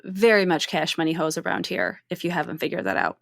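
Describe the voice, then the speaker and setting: A woman's voice: deep voice, very animated, fairly quick, creaky, dry recording, studio quality. A woman co-hosting a podcast in casual, informal chat, with a playful and reactive manner. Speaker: film podcast co-host. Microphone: studio microphone, close.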